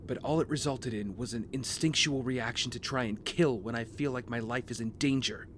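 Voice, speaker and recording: A man acting a brooding, guilt-ridden young vigilante hero in an audio drama, speaking in an earnest, measured, confessional way. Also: mid-range voice, noisy background, natural voice. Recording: music bed under the voice.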